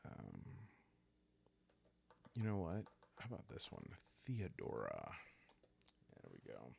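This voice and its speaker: A man calmly improvising a solo roleplaying story for sleepy listeners, thinking aloud in short, halting phrases. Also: extremely low pitch, vocal fry. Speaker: host and solo player of a journaling roleplaying game.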